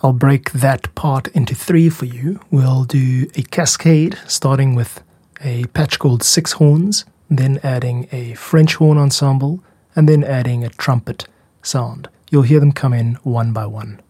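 Guy doing a music podcast, bit husky, explains stuff honestly, very dry room. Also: medium pitch, a little slow, warm tone, no room sound. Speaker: music podcast host and composer.

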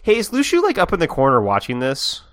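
A man's voice: a little high, huge pitch swings, quick pace, fairly bright tone, no room sound, studio quality. A man co-hosting a podcast, reacting in casual, jokey chat and speculating aloud. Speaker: podcast co-host.

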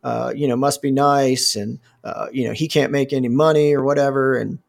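A man's voice: medium pitch, steady tone, normal speed, vocal fry. A man in his forties talking casually, rambling with lots of fillers and restarts and swearing freely. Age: 40s. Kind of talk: casual conversation.

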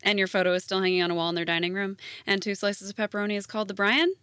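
An actress playing a jaded, sarcastic high school teacher, an American woman with a blunt, deadpan manner, in a naturalistic audio drama. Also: rapid-fire, clean tone, dry recording, close to an everyday voice.

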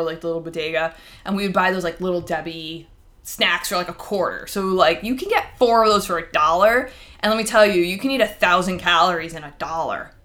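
Bright-voiced woman telling casual stories. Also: mid-range voice. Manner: wry, self-deprecating and emphatic. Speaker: podcast co-host. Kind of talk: casual personal storytelling.

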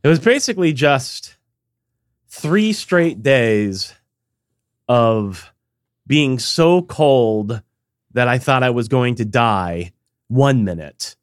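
Stuffed-up guy cohosting a chat show, halting and rambly, lots of ums, dead dry sound. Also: mid-range voice, sing-song, no echo. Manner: rambling, halting with many fillers and restarts. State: congested, recovering from illness. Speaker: podcast co-host. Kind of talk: casual chat.

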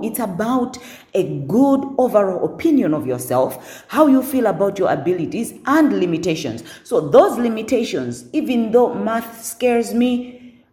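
Deep-voiced woman, mellow tone, talks firm and sure of herself, roomy echo. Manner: assertive, repeats words when emphasizing. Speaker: guest. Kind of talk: casual podcast conversation.

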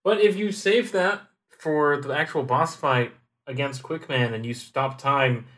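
A man co-hosting a podcast, casually explaining video game tactics in a relaxed way. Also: medium pitch, measured pace. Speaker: podcast co-host.

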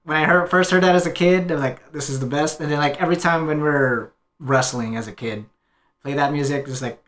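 A man's voice: fairly high pitch, brisk, a bit bright. A man co-hosting a casual movie review, talking informally in short phrases. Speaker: co-host of a movie review show. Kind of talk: casual group review chat.

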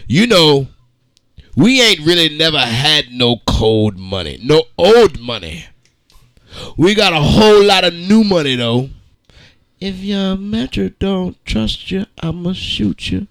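A man co-hosting a podcast, giving a profane, slang-heavy mock-dramatic reading with theatrical pauses. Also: fairly high pitch, very animated, gravelly, fairly bright tone, dry recording. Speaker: podcast co-host.

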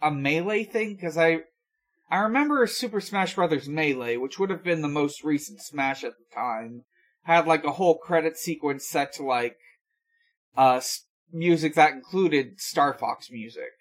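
A man hosting a podcast, giving a casual recap in a halting, unhurried way full of hesitations. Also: fairly high pitch. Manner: halting, filler-heavy, unhurried. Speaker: podcast host. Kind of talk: casual episode recap with frequent pauses.

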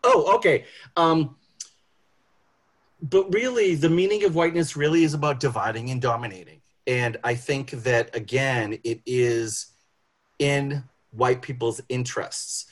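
A man with a husky voice giving a prepared talk on social justice as a panel speaker, phrasing it deliberately and with emphasis. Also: mid-range voice.

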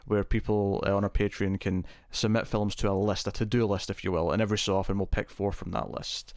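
A man's voice: fairly low pitch, level tone, fairly quick, no room sound, clean studio sound. A man hosting a casual film podcast, talking in a chatty way, laughing often and restarting words. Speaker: podcast host. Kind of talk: casual chat.

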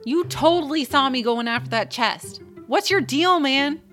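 An actress playing a shy, socially awkward young gamer woman with a quick temper, in a romantic comedy audio drama. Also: high-pitched, a bit bright, soft background music. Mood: outraged.

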